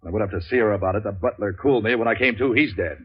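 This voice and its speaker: A low, sardonic male voice from an old 1940s radio thriller, with dull, limited fidelity. a cynical hardboiled troubleshooter who talks in clipped, deadpan wisecracks. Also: very fast, husky. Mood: weary, irritable. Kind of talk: 1940s radio noir acting and narration.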